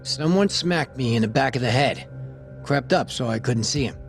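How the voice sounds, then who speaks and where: Cynical, streetwise police detective played by an actor. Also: male voice, mid-range voice, moderate pace, creaky, faint music behind, close to an everyday voice. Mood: matter-of-fact, irritated. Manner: sarcastic, streetwise, foul-mouthed. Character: cynical, shady male police detective, framed and grumbling. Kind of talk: English video game dub acting.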